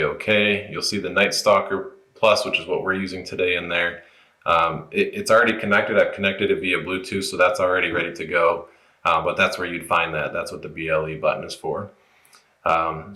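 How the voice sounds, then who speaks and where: A man with a deep voice walks through software steps in an informal, unscripted way. His tone is bright and forceful for its low register. The recording has a noticeable room echo. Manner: informal, unscripted, explaining as he goes. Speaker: product tutorial presenter. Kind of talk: casual step-by-step app walkthrough.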